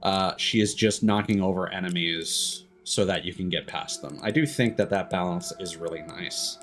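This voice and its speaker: A man's voice: low-pitched, normal speed, a bit bright, faint music behind. A man giving casual, opinionated, sweary commentary as he streams a game live.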